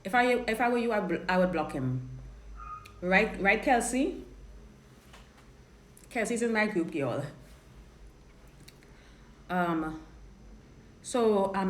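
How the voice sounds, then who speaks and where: A woman from Trinidad with a Trinidadian accent, a dating coach chatting frankly while answering viewer questions on a live stream. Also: medium pitch. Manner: chatty, frank, repeats and restarts words. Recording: roomy live-stream audio with faint noise.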